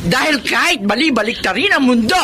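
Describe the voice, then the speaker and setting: A woman's voice: sits high, lively intonation, fairly quick. A woman playing a hard-working, fiercely protective middle-aged mother in a Tagalog radio drama. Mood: furious, threatening.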